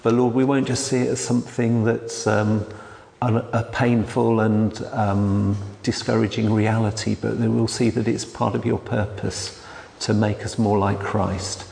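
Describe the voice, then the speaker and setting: Quiet gravelly preacher in a hall. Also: male voice, on the low side, level tone, unhurried. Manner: quiet, hesitant, with frequent restarts. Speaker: church elder preaching. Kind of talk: sermon. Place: church hall. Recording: long hall reverb.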